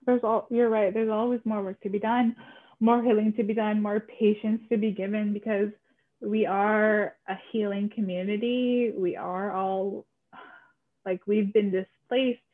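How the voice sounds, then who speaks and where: A woman's voice: mid-range voice, flat delivery, a little slow. A woman co-hosting a podcast, speaking earnestly and with feeling, filling her talk with "like". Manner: impassioned, many "like" fillers. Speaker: podcast co-host. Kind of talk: earnest casual conversation. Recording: tinny phone-like line.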